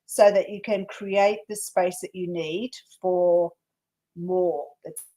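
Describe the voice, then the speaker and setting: A woman, a psychic co-host giving tarot card readings on a casual live stream, lively and quick to laugh. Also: on the low side, rough recording. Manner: animated, laughs often, repeats names.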